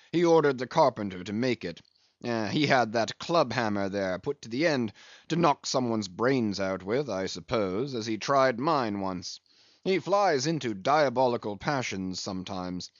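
A man voicing a solemn English ship's surgeon in an audiobook, deadpan, measured and drily pompous. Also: mid-range voice, no room sound, muffled recording, close to an everyday voice. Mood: coolly deadpan.

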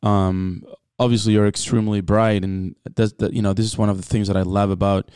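A male podcast host introduces his guest and asks questions, often restarting mid-sentence. His low voice is mellow and smooth. The sound is dry and close. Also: level tone, fairly quick, no room sound. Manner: many restarts, hesitant questions. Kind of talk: interview hosting.

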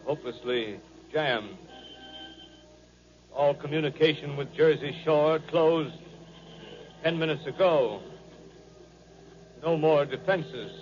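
An actor playing a male radio announcer reporting from a rooftop in a 1930s radio drama, grave and halting. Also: medium pitch. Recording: crackly lo-fi 1930s radio broadcast with hiss.

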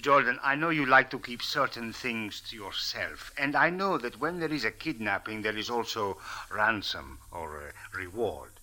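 Dogged police officer, extremely bright and tense voice, stiff formal phrasing, 1940s radio drama. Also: male voice, fairly low pitch, expressive, moderate pace, few pauses. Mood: probing, suspicious. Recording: old radio drama recording.

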